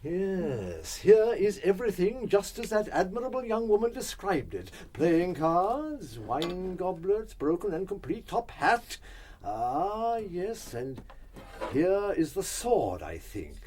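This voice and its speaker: An actor playing an eccentric, self-assured gentleman detective in a radio drama, musing aloud and making deliberate, pompous pronouncements. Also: high-pitched, big pitch swings, slight background noise, full character voice. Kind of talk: full-cast radio drama acting.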